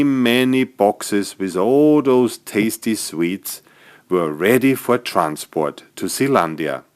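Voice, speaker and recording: A man narrating a scripted bedtime story for small children as its author, in an expressive storytelling manner. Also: fairly low pitch. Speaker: author narrating own stories.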